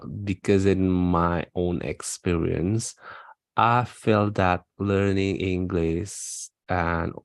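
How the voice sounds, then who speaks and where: A man from Indonesia with a very low, gravelly voice answers test questions slowly and haltingly. His delivery stays flat, and the sound is dry and close on a video call. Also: no echo. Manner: hesitant, many restarts and fillers. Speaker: IELTS speaking test candidate. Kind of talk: practice speaking test answers.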